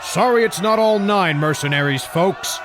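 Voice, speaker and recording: A man's voice: high-pitched, expressive, normal speed, crowd noise, background noise. A man playing a dim, blustering small-town mayor, folksy and declamatory, in a comic dub. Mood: indignant, rabble-rousing.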